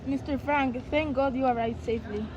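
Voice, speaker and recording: A polite, anxious woman of a wartime stage drama, acted by a woman. The voice is high and earnest, in a dull recording with clear background noise. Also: fairly even intonation, moderate pace, natural voice. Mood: earnest, concerned. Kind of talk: amateur stage dramatic reading.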